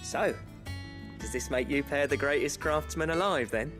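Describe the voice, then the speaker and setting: An actor playing a convivial, gently teasing male companion among craftsmen. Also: a little high, normal speed, lightly acted. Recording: music behind the voice.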